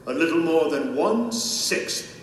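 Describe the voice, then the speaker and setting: A man playing a deferential record keeper in a heavenly court, formal and deliberate, in an audio drama. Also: on the high side, lively intonation, slight background noise. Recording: distant voice in echoing hall, faint music.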